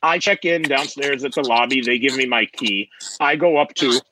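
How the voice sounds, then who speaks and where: A man's voice: mid-range voice, normal speed, background noise. A man in his forties, a guest telling rambling, joking stories in a casual chat. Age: 40s. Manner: joking, rambling, theatrical asides. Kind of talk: casual storytelling.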